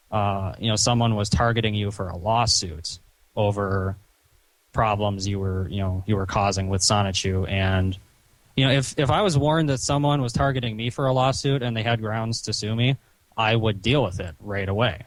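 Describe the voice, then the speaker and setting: A man talking on a recorded phone call in a stern, chiding, halting way, padding his sentences with "you know". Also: lowish voice. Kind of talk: phone conversation, reproachful lecturing.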